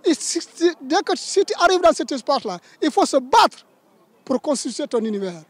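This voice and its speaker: High-pitched man in a documentary, urges and exhorts, dry close sound. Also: sing-song, moderate pace, no room sound. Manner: emphatic, exhorting. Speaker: interviewee. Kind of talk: documentary testimony.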